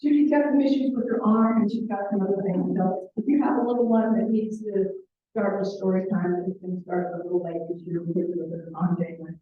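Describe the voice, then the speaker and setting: A woman's voice: mid-range voice, fairly even intonation, fairly quick, very echoey, recorded from a distance, phone-quality sound, slight background noise. A woman library director chatting through announcements, rambling with lots of fillers. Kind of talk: casual announcements and introduction. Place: library meeting room.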